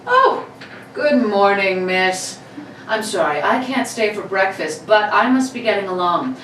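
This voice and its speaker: A woman's voice: medium pitch, very animated, unhurried, fairly bright tone. A woman playing a cocky male burglar of about thirty in an old-time radio play reading, gloating and mock-courteous. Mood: cool, menacing. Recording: roomy, distant mic, background noise.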